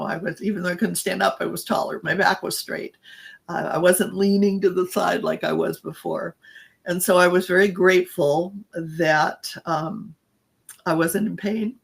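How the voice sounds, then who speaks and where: A woman podcast co-host and coach with a scratchy, raspy voice, telling her own story in a halting, reflective way. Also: on the low side. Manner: halting and reflective, trails off mid-thought. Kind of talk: personal storytelling in casual chat.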